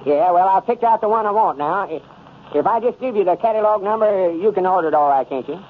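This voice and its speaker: A man's voice: high-pitched, fast talker, natural voice. An actor playing a vain old country man with a rural Southern US accent and a pompous drawl, in old radio comedy style. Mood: officious. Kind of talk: 1930s radio comedy acting. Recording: noisy, tinny old radio recording.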